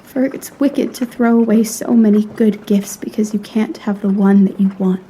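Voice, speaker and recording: A woman's voice: medium pitch, steady tone, normal speed, warm tone, a little hiss or hum, close to an everyday voice, rough recording. A woman playing a proud, frank young lady in a period audio drama, speaking in a refined, articulate and earnestly reproachful way. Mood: gentle, earnest.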